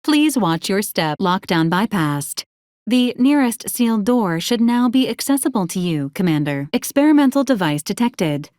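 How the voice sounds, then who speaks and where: A female robot assistant from a video game, acted by a woman, politely officious and literal. She delivers formal advisories in a clipped, deadpan manner at a middling pitch. The sound is dry, with no room around it. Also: normal speed, no room sound, lightly acted. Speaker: robot companion giving mission advisories. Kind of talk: scripted video game character lines.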